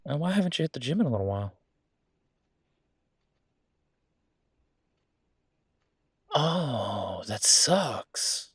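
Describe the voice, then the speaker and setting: An easygoing werewolf boyfriend from an audio roleplay, acted by a man. He has a mid-pitched male voice and chats casually, with a playful, teasing and slightly vain manner. The sound is dry, with no room on it. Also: sing-song, moderate pace, husky, dry recording. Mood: sympathetic.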